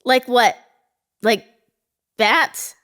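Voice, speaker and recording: A woman playing a brash but soft-hearted small-town country girl in an audio horror drama. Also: a little high, extremely slow. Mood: skeptical.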